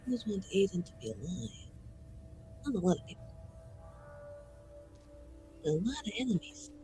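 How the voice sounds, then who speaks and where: A woman's voice: medium pitch, big pitch swings, normal speed, dark tone, soft background music. A woman playing a tabletop roleplaying game, talking casually and informally with the group.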